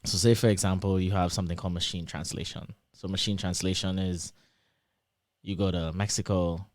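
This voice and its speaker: A young man whose Trinidadian accent drifts toward American, a guest explaining things in a lively, casual way with lots of examples and fillers. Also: deep voice, brisk, dry recording. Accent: Trinidadian shifting toward American. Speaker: interview guest, PhD student.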